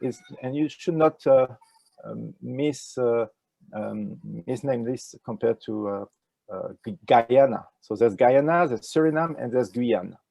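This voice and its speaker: Older French-accented man, gravelly voice. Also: mid-range voice, warm tone, no room sound, rough recording. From France. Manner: halting, many fillers and restarts. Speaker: ecology professor, interview guest. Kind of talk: casual interview answers. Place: indoors with a virtual nature backdrop. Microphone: wired earphone mic on a video call.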